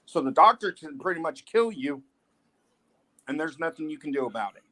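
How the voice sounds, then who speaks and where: A man's voice: on the high side, lively intonation, moderate pace, bright, forceful tone. A man talking earnestly and insistently as a guest on a casual livestream.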